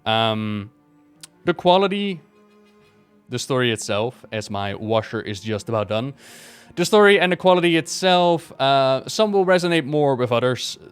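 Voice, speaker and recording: A man's voice: medium pitch, animated, a little slow, clear, resonant tone, soft background music. A man chatting casually and enthusiastically as he reviews something for viewers, rambling a little and stressing his praise. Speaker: video creator reviewing a book.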